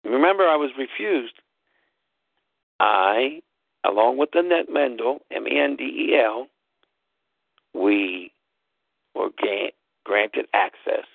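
A man's voice: mid-range voice, lively intonation, slow pace, vocal fry, long pauses, no echo. A man who hosts a talk show, telling a story in an emphatic way, spelling words out and repeating himself for stress. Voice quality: loud voice. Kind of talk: casual storytelling monologue. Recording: tinny phone-like line, dry.

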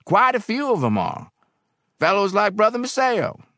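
A big, loyal barkeeper from an audiobook, acted by a man. His folksy talk is unhurried and a touch mournful, with somewhat raised pitch and lively swings, genial but firm. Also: no room sound, studio quality, full character voice. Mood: confiding. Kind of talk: audiobook character acting.

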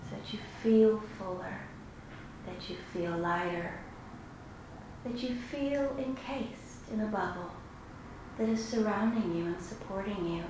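A woman guiding a meditation in a slow, soothing, instructive way with long pauses. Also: medium pitch. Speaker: meditation guide. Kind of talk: guided meditation. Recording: very echoey room, clearly noisy background.

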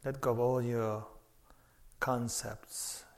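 A man guides a meditation at an extremely slow pace, leaving long pauses between short phrases. His medium-pitched voice stays fairly even, with a measured, instructive delivery. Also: vocal fry. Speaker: meditation teacher.

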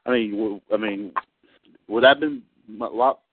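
Man, thirties, casual host, halting speech, full of restarts, tinny phone line. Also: on the low side, no room sound. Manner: halting, many restarts and fillers. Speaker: podcast host. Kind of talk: casual online radio chat.

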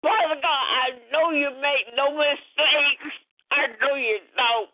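A woman's voice: sits high, takes it slowly, dry recording. A woman from New Orleans praying fervently and emotionally, pleading and repeating her phrases, over a narrow phone line. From New Orleans, USA. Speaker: worshipper giving a personal prayer testimony. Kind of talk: fervent spoken prayer.

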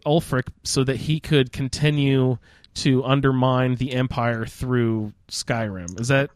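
A man's voice: medium pitch, steady tone, a little slow, dry recording, clean studio sound. A man hosting a casual, informal roundtable discussion about a video game. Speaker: podcast host.

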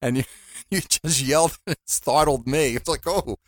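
A man's voice: a little high, moderate pace, dry recording. A man co-hosting a podcast, chatting casually in a laid-back way, laughing often and swearing now and then. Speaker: podcast co-host. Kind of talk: casual chat.

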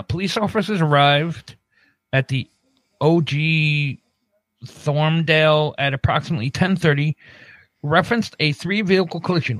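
A man co-hosting a casual comedy show, haltingly reading out the news and repeating himself. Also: on the high side, a bit bright, dry recording.

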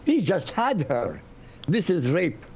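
Gravelly, lively man with Iraqi Arabic accent. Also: a little high, slow, crowd noise. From Iraq. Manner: emphatic, animated. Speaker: host. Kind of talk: casual talk show chat. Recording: dull lo-fi audio with faint noise.

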